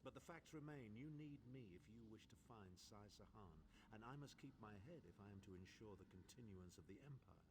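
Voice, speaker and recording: Pompous imperial chancellor, noisy capture. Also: male voice, fairly low pitch, lively intonation, a little fast, hardly any pauses. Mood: formal, pleading pride. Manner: pompous, formal, dry sarcasm. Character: haughty, sardonic imperial chancellor, an older man. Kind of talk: video game character voice acting. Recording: clearly noisy game capture with ambience.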